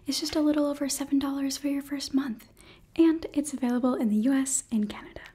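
A woman's voice: sits high, fairly even intonation, quick pace, dark tone. A young woman whispering breathily close to the mic, as an ASMR host presenting products. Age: young adult. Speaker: ASMR host reading a sponsor ad. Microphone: close microphone.

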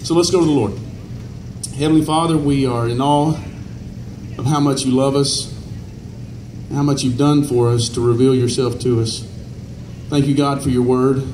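Pastor over an echoey outdoor PA. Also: male voice, medium pitch, dark tone. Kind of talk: informal public remarks and prayer. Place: covered outdoor festival stage. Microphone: handheld mic through PA, distant camera. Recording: echoey outdoor PA, noisy ambience.

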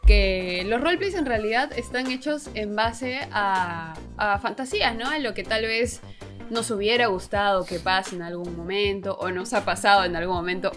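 A woman's voice: medium pitch, a bit bright. Playful young woman chatting over music. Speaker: co-host of a video podcast. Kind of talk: casual chat. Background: background music.

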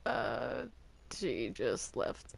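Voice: mid-range voice, huge pitch swings, extremely slow, vocal fry, a little hiss or hum, full character voice. A person playing a defensive, reluctant cartoon demon in an improvised Minecraft roleplay, indignant and sheepish by turns.

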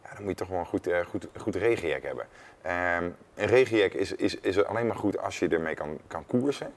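A man with a very low voice talks casually in a studio interview. He speaks haltingly, doubling back on words as he explains. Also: animated. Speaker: former professional cyclist, studio guest. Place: indoor studio. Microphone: lapel mic.

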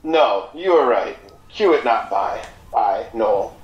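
A man's voice: medium pitch, expressive, reverberant, muffled recording. Man voicing a theatrical, scheming alien fence. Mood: sly pleading. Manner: halting, riddling, deliberate storytelling. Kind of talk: audio drama acting.

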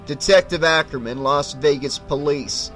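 Guy reading as a gruff cop in an audiobook, stern, bossy, sarcastic, kinda growly. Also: on the high side, normal speed, fairly bright tone, soft background music, natural voice, rough recording. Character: gruff, stern male police detective, sarcastic.

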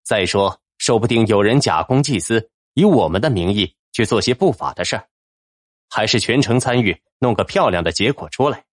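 A man's voice: fairly low pitch, animated, normal speed, dry recording, clean studio sound. Man voicing a cool, resolute Taoist cultivator. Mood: approving. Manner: formal, decisive. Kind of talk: audiobook character acting.

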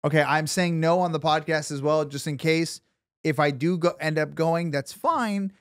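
A man's voice: fairly high pitch, normal speed, no room sound, clean studio sound. A man co-hosting a podcast, rambling and emphatic with many restarts. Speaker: podcast co-host. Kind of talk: casual chat.

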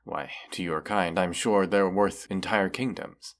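A man playing a proud, menacing, weary dragon who distrusts humans, talking haughtily in a fantasy audio roleplay. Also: low voice. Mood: scornful. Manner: slow, deliberate, haughty, with long pauses. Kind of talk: scripted audio roleplay monologue.

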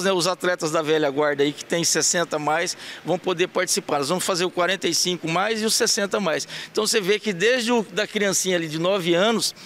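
Gravelly Brazilian official, halting answers. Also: male voice, high voice, fairly bright tone. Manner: halting, many fillers and restarts. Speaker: municipal sports secretary. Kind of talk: TV news interview answers. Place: indoors in front of a printed backdrop. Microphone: handheld reporter mic held close.

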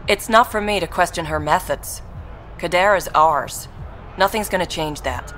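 An actress playing a firm, matter-of-fact, guarded recruiter for an outlaw gang in a video game. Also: female voice, lowish voice, moderate pace, a bit bright, soft background music, natural voice. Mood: defiant, loyal. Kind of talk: scripted game dialogue. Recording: noisy industrial ambience behind.

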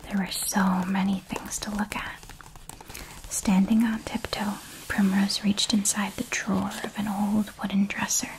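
A woman reading a children's story aloud in a soft, calm ASMR whisper. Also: mid-range voice, a little hiss or hum, poor audio. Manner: slow, calm and hushed.

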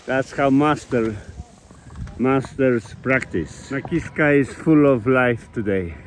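A man with as a giving slow, enthusiastic commentary into a phone while filming outdoors at a ski hill and a mountain river. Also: medium pitch, a little hiss or hum. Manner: slow, enthusiastic, simple phrasing with pauses. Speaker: vlogger.

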